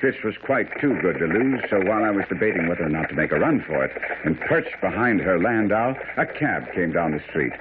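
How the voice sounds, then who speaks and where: Deep lively detective, shrewd and confident, noisy old radio play. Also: male voice, a little fast. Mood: amused, animated. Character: shrewd confident detective, at times posing as rough groom. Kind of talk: radio drama acting. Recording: noisy narrow-band old radio broadcast.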